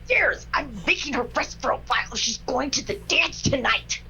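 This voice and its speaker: Brief: high-voiced woman, lively delivery, 1940s radio acting with a jokey twist, video call. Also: normal speed, breathy edge. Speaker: cast member in a comedic radio play reading.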